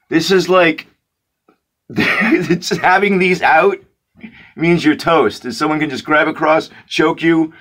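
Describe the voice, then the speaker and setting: A man's voice: on the high side, a bit bright. A young man ranting casually to his viewers, exasperated and mocking, with many restarts and repeated words for emphasis. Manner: frequent restarts and fillers. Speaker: vlogger. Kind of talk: casual rant to camera.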